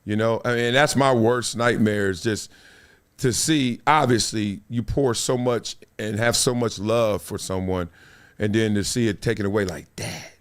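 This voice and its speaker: Man, middle-aged, stream host, casual commentary, lively playful delivery. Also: on the low side, a little slow, clear, resonant tone. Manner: animated, playful, reads comments aloud. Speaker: show host.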